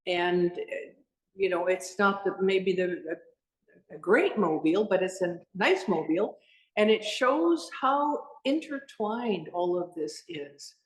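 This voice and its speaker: A woman's voice: on the low side, lively intonation, takes it slowly. Woman from Sweden and Norway, guest artist, earnest and passionate, echoey exhibition space. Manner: earnest, passionate, with frequent restarts. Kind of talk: casual interview talk. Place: at her art exhibition. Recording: echoey room.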